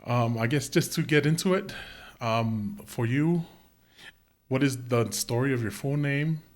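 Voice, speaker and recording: A male podcast host with an ordinary mid-range voice. He asks his questions in a rambling, halting way, full of fillers, and keeps the chat casual and easygoing. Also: mid-range voice.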